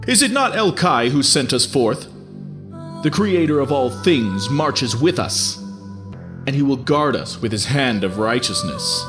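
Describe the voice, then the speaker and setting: A devout commander , played by a man, rallies a fantasy army in slow, declamatory phrases. His pitch moves boldly, and music swells clearly behind the speech. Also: big pitch swings. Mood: rousing, resolute. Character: devout male war leader rallying his fantasy army. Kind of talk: fantasy audio drama oratory.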